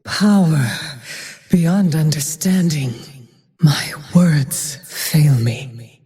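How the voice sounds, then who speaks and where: A grim, cursed frost archer for a video game. Her voice is dark and breathy, at times nearly a whisper, and she speaks in slow, clipped, menacing phrases. A long cavernous reverb trails each line. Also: sits low, animated, full character voice. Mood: brooding, vengeful. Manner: cold, terse, menacing. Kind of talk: video game character voice lines.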